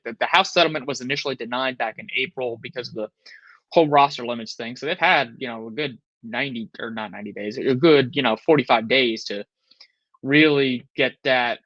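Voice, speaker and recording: Bright-voiced man in his thirties talking college sports. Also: mid-range voice, no echo, highs cut off. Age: 30s. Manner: rambling with many restarts, frustrated. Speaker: podcast co-host. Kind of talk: casual sports talk show.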